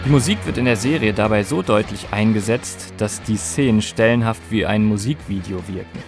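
A man's voice: lowish voice, normal speed, music in the background. A man presenting a scripted podcast documentary in an explanatory way, speaking directly to listeners. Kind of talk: scripted music documentary narration.

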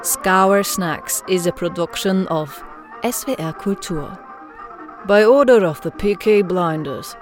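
A woman's voice: on the low side, slow pace, music in the background. A woman reading podcast end credits in English with a playful, German-tinged attempt at a Birmingham accent. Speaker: voice actress and editor reading credits.

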